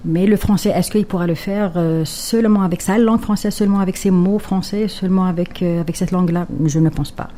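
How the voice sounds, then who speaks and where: A woman from Algeria who is a native Kabyle speaker, talking as a poet guest in a radio studio interview and pausing often to think. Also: lowish voice, steady tone, warm tone, slight background noise. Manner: thoughtful, pausing with fillers.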